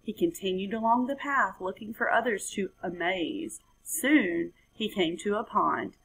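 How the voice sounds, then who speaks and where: A woman's voice: medium pitch, unhurried, creaky, fairly bright tone, husky, rough recording. A woman in her thirties reading a children's story aloud expressively, recorded at home on a computer mic. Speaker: storybook reader. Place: indoor room at home. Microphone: webcam or laptop mic.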